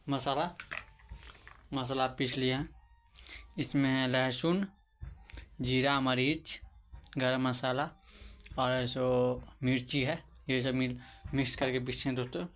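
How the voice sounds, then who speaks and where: A man's voice: medium pitch, slight background noise. A man narrating a casual cooking vlog in a small home kitchen, chatting to his friends as he goes through each step and filming on a handheld phone. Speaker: cooking vlogger. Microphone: handheld phone camera. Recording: phone video with kitchen clatter. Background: clattering dishes and pots.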